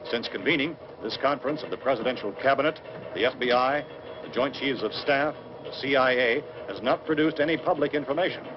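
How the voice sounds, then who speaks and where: An actor playing a grave, sober American television newscaster, reading a formal, measured 1960s news bulletin with authority. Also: male voice, medium pitch, natural voice. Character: grave, sober male TV newscaster reporting a catastrophe. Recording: dull noisy old film soundtrack, television sound.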